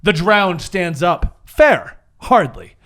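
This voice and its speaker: A man's voice: high voice, lively intonation, slow pace, fairly bright tone. A man running a tabletop game as game master, mixing dramatic narration with jokey, theatrical banter.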